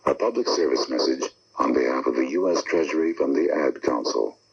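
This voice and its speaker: Extremely deep, gravelly announcer. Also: male voice, a little fast, very noisy. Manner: steady, authoritative read. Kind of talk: public service announcement. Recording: lo-fi 1970s radio broadcast.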